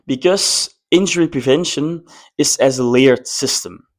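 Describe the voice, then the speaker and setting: A man's voice: mid-range voice, measured pace. A man who is a non-native English speaker, presenting an explainer in short, emphatic phrases. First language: not English. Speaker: presenter, former athlete. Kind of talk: semi-scripted explainer to camera.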